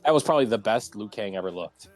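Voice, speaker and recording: Low-voiced man chatting over background music. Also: lively intonation, fast talker. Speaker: host of round-table discussion. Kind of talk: casual group chat about movies and games.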